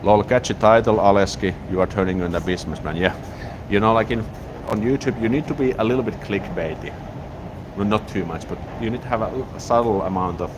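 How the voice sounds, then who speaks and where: A man with a Finnish accent chatting casually on a live stream outdoors, reading viewer comments and rambling with frequent restarts. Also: low voice. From Finland. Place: outdoors in a city, near a train station. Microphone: smartphone on a gimbal. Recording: outdoor street noise behind.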